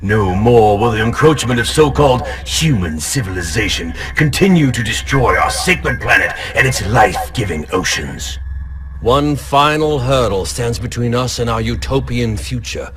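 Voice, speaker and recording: A cold cult leader, voiced by a man, low-pitched and lively. He gives orders curtly and turns grandiose in his speeches. Game music plays underneath. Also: lightly acted. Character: cold male villain leading an ocean cult.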